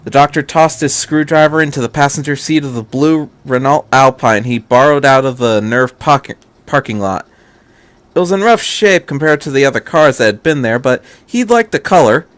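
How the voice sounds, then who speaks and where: A man's voice: medium pitch, moderate pace, fairly bright tone, dry recording. A man narrating a scripted fan fiction story as an amateur audiobook reader.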